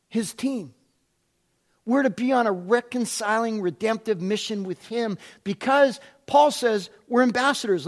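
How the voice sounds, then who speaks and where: Middle-aged male pastor, very high voice, loud and prone to rising when excited, repeats his questions for emphasis. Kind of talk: sermon.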